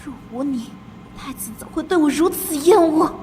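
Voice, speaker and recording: Woman doing a jealous maid in a cartoon, resentful and pleading, high voice. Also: normal speed, mellow, slight background noise, natural voice. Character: jealous young palace maid turned consort.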